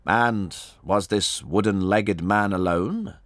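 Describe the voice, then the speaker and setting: A man reading an audiobook, voicing a shrewd, courteous gentleman detective in a measured, smooth-talking manner. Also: lowish voice, dry recording. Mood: bland, probing. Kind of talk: audiobook character voice.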